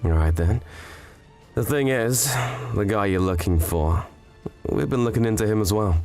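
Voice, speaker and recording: Guy doing an man in a dark manga revenge story, very up and down, music behind. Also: lowish voice, animated, moderate pace. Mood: calm, grave. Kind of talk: dubbed manga drama dialogue.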